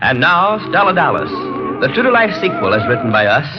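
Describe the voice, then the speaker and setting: Old 1940s radio announcer guy, formal, highish voice, echoey muffled recording. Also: big pitch swings, brisk, loud music behind the voice. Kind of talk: 1940s radio programme introduction. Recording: muffled vintage radio, long reverb.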